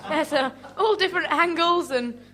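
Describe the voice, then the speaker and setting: A woman's voice: very high-pitched, moderate pace, pushed and bright, a faint crowd. A woman playing an eager, faintly exasperated sculptor in an improvised radio comedy, smitten and stammering as she goes. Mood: hesitant, polite. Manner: stammering, hesitant delivery. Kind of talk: improvised comic radio play before an audience.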